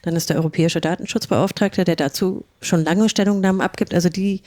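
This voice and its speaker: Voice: mid-range voice, steady tone, brisk, a little mellow, dry recording. Co-host summarizing points in a dry recording. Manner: summarizing, fluent with fillers. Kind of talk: podcast discussion.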